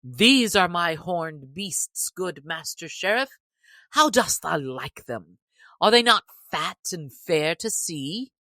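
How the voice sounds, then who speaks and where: Woman voicing a merry, teasing young male outlaw in a storybook reading; low and unhurried. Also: animated, pushed and bright, no room sound, full character voice. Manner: cheerful, teasing, old-fashioned speech. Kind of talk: audiobook character reading.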